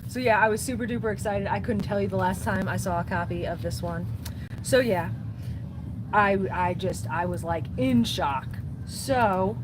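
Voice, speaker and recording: A woman's voice: medium pitch, moderate pace. A woman who runs a record store, chatting casually on a livestream and reading out viewer comments in a chatty, upbeat way. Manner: chatty and upbeat, often saying "so yeah". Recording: noisy background.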